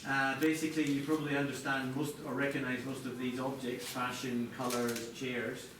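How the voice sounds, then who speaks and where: A man presenting product design live to a group, explaining in a halting way with corporate phrasing. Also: mid-range voice, level tone. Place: large echoey indoor venue, apparently a hotel. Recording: echoey noisy room, distant mic.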